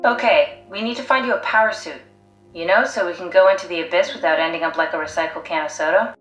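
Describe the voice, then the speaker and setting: A woman's voice: on the low side, quick pace, a bit bright, natural voice. A woman playing a pragmatic, driven, blunt scientist in a video game, analytical, matter-of-fact and persuasive. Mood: insistent. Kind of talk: video game voice acting, naturalistic dialogue. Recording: echoey sound. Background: faint music.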